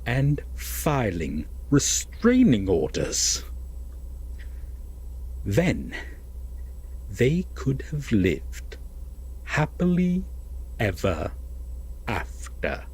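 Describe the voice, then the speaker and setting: A man playing an obsessive, lovesick captor prince in an audio roleplay, telling a dramatic bedtime fairytale in a halting, hushed, breathy voice. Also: medium pitch, huge pitch swings, exaggerated voice. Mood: anguished justifying. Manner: halting, hushed, wistful, with heavy pauses.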